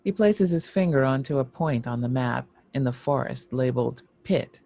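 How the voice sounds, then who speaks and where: A male narrator reads screenplay directions in a mellow, fry-heavy voice of middle pitch. The sound is very dry, with no room echo. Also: animated, moderate pace. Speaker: narrator reading stage directions.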